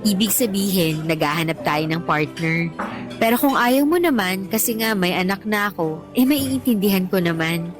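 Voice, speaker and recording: Actress as candid, talkative woman teacher and single mother, casual radio drama delivery, mid pitch, music bed. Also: normal speed, lightly acted. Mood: earnest, confiding. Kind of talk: Tagalog radio drama dialogue. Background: background music.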